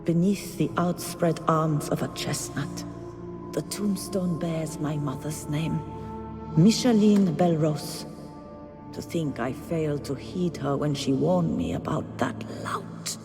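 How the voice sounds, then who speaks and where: Posh pious ghost lady in a game, slow and indignant, big crypt reverb, music behind. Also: low voice. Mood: pleading, wistful. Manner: haughty, theatrical, formal, indignant. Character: pious, sanctimonious nagging noblewoman ghost, long-suffering wife. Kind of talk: video game dialogue acting. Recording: long hall reverb.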